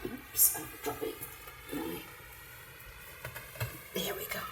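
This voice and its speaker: A woman hosting a card-making demonstration, chatting through each step with a croaky voice. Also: slow pace. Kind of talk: casual craft demonstration. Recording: roomy, mic at a distance, faint background noise. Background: birds outside.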